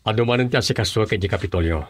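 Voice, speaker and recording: A man's voice: on the low side, fast, slightly rough. A man with a Filipino accent acting an authoritative governor in a radio drama. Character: male governor, authoritative official giving instructions.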